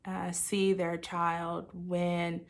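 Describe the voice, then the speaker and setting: A woman sharing a personal story with listeners in a candid, halting, reflective way, often qualifying what she says and voicing her own thoughts expressively. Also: on the low side, completely flat delivery. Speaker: host of a personal channel.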